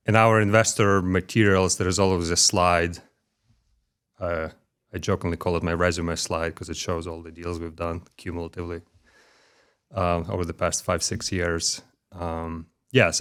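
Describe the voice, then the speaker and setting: A middle-aged man from Ukraine with a Ukrainian accent, speaking as an interview guest in a measured way with frequent pauses and fillers, recorded in a corporate headquarters office. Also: sits low. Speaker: guest, corporate development executive. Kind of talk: conversational interview.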